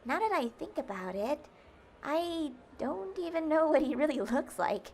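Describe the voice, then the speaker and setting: A woman's voice: sits high, very animated, moderate pace, a little mellow, slight background noise. A woman playing an awkward, sweet, easily flustered teenage girl who stammers, in an audio drama.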